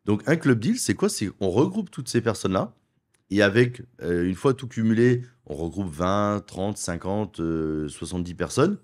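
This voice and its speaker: A middle-aged man from France speaks with a somewhat low voice. He argues his points persuasively in a studio interview, stacking them in quick runs. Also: sing-song. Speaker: wealth advisor and property investment guest. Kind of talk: conversational interview.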